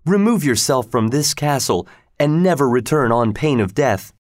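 Storybook role: cruel, lovesick sorceress queen, high and melodramatic, imploring and imperious, performed by a male narrator. Dry recording. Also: lively intonation, normal speed, darkish tone, no echo, natural voice. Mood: commanding.

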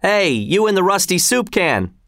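A man's voice: high voice, big pitch swings, normal speed, dry recording, clean studio sound, voice pushed up, exaggerated voice. A man voicing a mischievous commoner in a storybook, calling out mockingly like a rowdy heckler. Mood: gleeful jeering. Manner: mocking shouts. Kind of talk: storybook character voice.

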